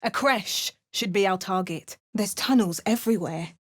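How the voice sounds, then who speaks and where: A woman's voice: medium pitch, normal speed, no room sound, clean studio sound. A woman voicing an adventurer's lines in a video game, with wry, understated asides and narration. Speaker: video game player character.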